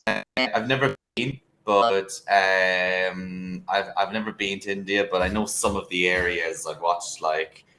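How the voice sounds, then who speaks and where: A man's voice: low-pitched, unhurried, pushed and bright, reverberant, faint music behind, low-quality recording. An Irish man tutoring English over a video call, talking casually and hesitantly with lots of fillers and restarts. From Ireland.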